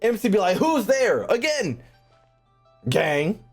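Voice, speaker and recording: A man's voice: sits high, big pitch swings, slow pace. A man giving casual, blunt gaming commentary as a streamer, full of slang and swearing, with game music behind. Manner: blunt, irreverent, profane.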